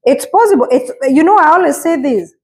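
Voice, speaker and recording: A woman's voice: high voice, expressive, brisk, darkish tone. A woman, casually encouraging viewers in a vlog while cooking in her home kitchen, recorded on a lapel mic. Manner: earnest, encouraging, repeats herself; talks while washing and chopping vegetables. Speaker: vlogger.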